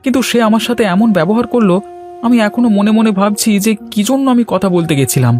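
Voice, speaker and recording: Man voicing an earnest, higher-voiced devoted young son. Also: expressive, fast talker, a little mellow. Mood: hurt. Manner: earnest, emotional. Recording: light background music.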